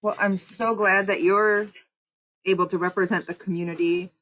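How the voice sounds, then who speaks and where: A woman interviewing a local official in a friendly way, often checking facts with a quick "right?". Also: medium pitch, fairly even intonation, fairly bright tone, low-quality recording. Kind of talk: community radio interview.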